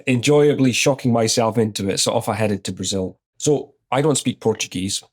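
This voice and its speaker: A man's voice: mid-range voice, moderate pace. A man in his fifties with a Scottish accent, an executive guest relaxedly telling an anecdote in an interview. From Scotland. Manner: relaxed anecdotal, retells his own exchanges. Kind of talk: conversational interview storytelling.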